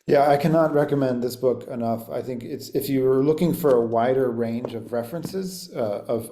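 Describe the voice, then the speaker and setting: A man hosting over a video call, politely relaying audience questions, halting with ums and uhs. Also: medium pitch, mellow. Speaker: host.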